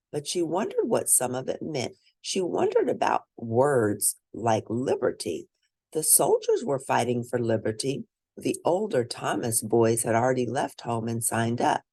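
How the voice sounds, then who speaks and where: A woman reads a picture book aloud in an expressive, teacherly way, speaking to young listeners. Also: rumbling low, measured pace, no echo. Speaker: children's read-aloud narrator and educator.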